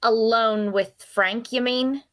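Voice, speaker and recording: A woman's voice: a little high, steady tone, slow pace, fairly bright tone. A woman playing a chatty, nosy and cheerful traveler in a fantasy audio drama, with a teasing, folksy manner. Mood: teasing, pleading. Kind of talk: dark fantasy audio drama table read.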